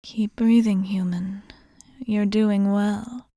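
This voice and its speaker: Female actor as calm vampire bartender, ASMR roleplay: mellow, whispery, unhurried, reassuring and formal. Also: mid-range voice, no echo, clean studio sound. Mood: hushed, soothing. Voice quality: soft, breathy whispering. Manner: slow, soothing, formal and reassuring.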